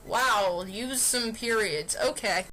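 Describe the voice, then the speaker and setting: A woman reads a fan story aloud in a high, bright voice. Her pace is unhurried, and a computer fan hums faintly behind her. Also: rough recording. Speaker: narrator. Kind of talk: amateur dramatic reading of fan fiction. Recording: steady computer fan noise.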